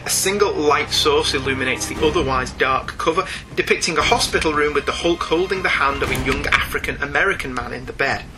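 A man with a fairly high-pitched voice presents a podcast as its host. He delivers prepared, scripted narration in the manner of a review. Music plays clearly behind his voice. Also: a little fast, few pauses.

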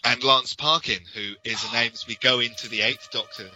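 A man's voice: medium pitch, steady tone, a little fast, pushed and bright, slight background noise, low-quality recording. A man co-hosting a podcast, explaining things in detail in a casual, discursive fan-discussion style. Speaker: podcast co-host.

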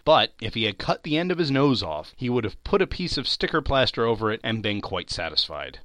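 Bright-voiced man, animated dramatic narrator. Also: medium pitch, fairly quick, dry recording, studio quality. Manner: theatrical. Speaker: podcast narrator. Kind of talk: dramatic audiobook narration of a classic tale.

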